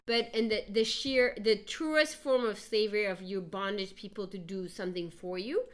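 A woman's voice: mid-range voice, moderate pace. Woman from africa, assertive, argumentative, casual podcast talk. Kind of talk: casual group chat.

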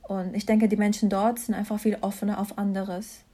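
Young woman with an even, steady pitch. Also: fairly quick. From Mannheim, Germany. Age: young adult. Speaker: interview guest, science student. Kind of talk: casual conversational interview, personal account.

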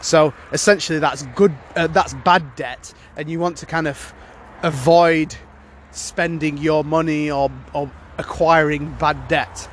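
A man's voice: on the high side, fairly even intonation, measured pace, fairly bright tone, a little hiss or hum. A man hosting a casual solo podcast, sounding congested from a cold and rambling with many fillers and restarts. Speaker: podcast host.

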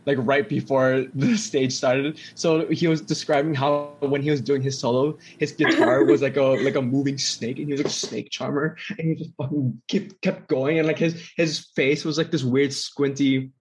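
A man's voice: mid-range voice, steady tone, moderate pace, few pauses, slight background noise. A young man from Indonesia co-hosting a casual podcast, telling stories in a rambling way with lots of "like" and laughter. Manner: rambling, heavy filler "like", laughs often. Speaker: podcast co-host. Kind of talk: casual chat.

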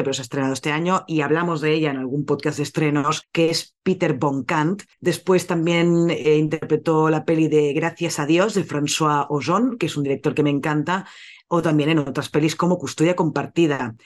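A man's voice: a little high, fairly even intonation, a little fast. A man hosting a casual film podcast, chatty and correcting his own slips as he goes. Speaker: podcast host presenting a film.

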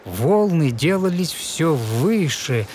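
Slow, theatrical male fairy-tale narrator. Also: on the high side, a little hiss or hum. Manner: measured, theatrical, expressive recitation. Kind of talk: dramatic verse storytelling of a fairy tale.

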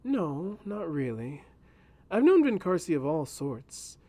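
A man voicing a kindly adult male castle servant who speaks gently and patiently in an audiobook. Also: fairly high pitch, big pitch swings, takes it slowly, pitched above the performer's own voice, full character voice. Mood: reassuring. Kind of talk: audiobook character voice.

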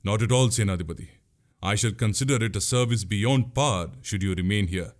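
A man's voice: on the low side, brisk, close to an everyday voice. A man playing a bold, commanding young prince in a historical tale, with formal, resolute speech. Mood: decisive. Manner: formal, resolute, archaic wording.

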